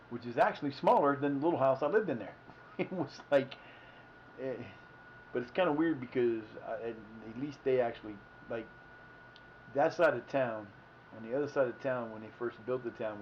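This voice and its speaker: A man's voice: fairly low pitch, highs cut off, a little hiss or hum. A man in his fifties from Ohio, rambling and correcting himself as he tells casual stories on a livestream. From Ohio, United States. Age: 50s. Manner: meandering, self-correcting, folksy. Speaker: livestream host.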